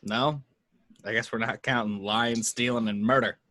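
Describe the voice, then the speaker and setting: A man playing a cynical, sarcastic private detective in an amateur table read, gruffly dismissive in his delivery. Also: mid-range voice, fairly even intonation, moderate pace, bright, forceful tone, no echo, studio quality, natural voice. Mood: irritated.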